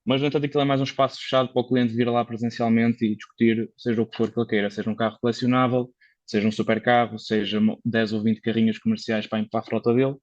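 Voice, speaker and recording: A man's voice: medium pitch, level tone, fast, dry recording, muffled recording. A Portuguese man, a car consultant, talking casually as a podcast guest, explaining things at length with many fillers and restarts. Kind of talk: casual conversational interview.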